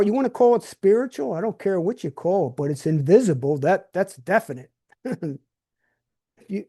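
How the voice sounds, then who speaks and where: A man's voice: high-pitched, mellow. Blunt streetwise older man. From New Jersey. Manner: blunt, streetwise, repeats and restarts words. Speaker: podcast host. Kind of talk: casual monologue.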